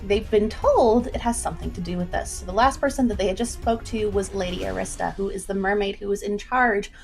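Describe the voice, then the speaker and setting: A woman's voice: mid-range voice, brisk, vocal fry, a little mellow, faint music behind. A woman hosting a casual livestream, talking informally in a chatty, folksy way as she introduces and recaps a story.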